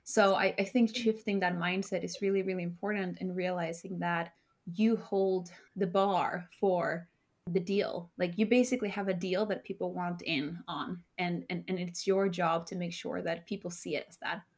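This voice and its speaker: A woman's voice: lowish voice, steady tone, moderate pace. A confident woman from Sweden speaking as a guest in a conversational interview, often dropping in "like" and "sort of". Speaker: guest, startup founder and CEO.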